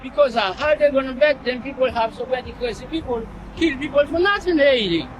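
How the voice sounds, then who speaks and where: A man's voice: very high-pitched, fairly quick, over music, a crowd in the background, rough recording. A middle-aged man with a Haitian accent chatting emphatically on the street in clipped, non-native phrasing. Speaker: food truck owner. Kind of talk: casual street chat. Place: outdoors by a street food truck.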